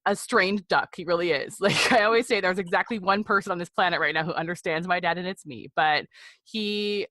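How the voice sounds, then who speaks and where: Podcast guest: American woman in her thirties, low-pitched and dry-sounding, candid and chatty, sharing personal stories. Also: brisk, sharp tone, no echo. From Seattle area, United States. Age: 30s. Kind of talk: personal storytelling in a conversational interview.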